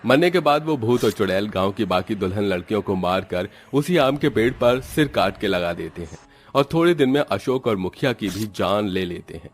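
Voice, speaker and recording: A man's voice: lowish voice, animated, normal speed. Male narrator of scripted horror tale. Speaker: storyteller narrator.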